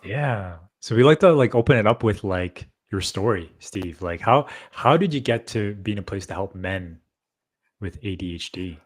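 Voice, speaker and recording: Low-voiced man co-hosting a live chat. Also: lively intonation, normal speed. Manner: loose, rambling, with many fillers. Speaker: co-host. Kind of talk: casual live-stream chat.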